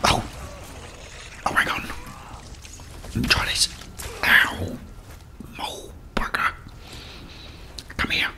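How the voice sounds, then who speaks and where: A man's voice: very slow, pauses often. A middle-aged man with an English accent whispering ASMR commentary as he plays a game, muttering reactions and talking to the characters. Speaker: gaming ASMR host.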